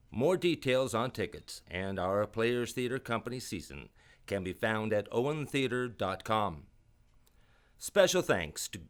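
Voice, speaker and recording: A man announcing for an old-time radio show in a scripted presentational style. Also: mid-range voice.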